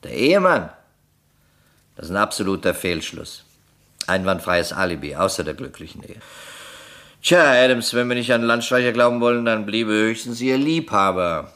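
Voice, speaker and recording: A shrewd, sceptical investigator in a radio crime play. His voice is deep and his delivery dry. He probes and questions everything. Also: animated, normal speed, a bit bright. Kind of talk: German radio crime drama acting.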